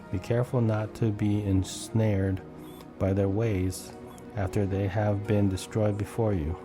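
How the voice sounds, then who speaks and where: A man reading Bible text aloud as scripted narration, occasionally stumbling over the words. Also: on the low side. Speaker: scripture reader.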